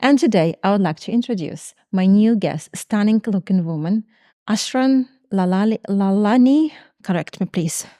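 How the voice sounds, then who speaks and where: Woman, podcast host, mellow tone, dry close sound, casual non-native english. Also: medium pitch, normal speed, dry recording. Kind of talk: casual interview. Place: Portugal.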